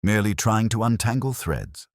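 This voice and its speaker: A man voicing a mild, shrewd English police inspector in a mystery story, speaking gently, smoothly and politely. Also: fairly low pitch, lively intonation, normal speed, no echo, clean studio sound, voice pushed up. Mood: polite, measured. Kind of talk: audio mystery story dialogue.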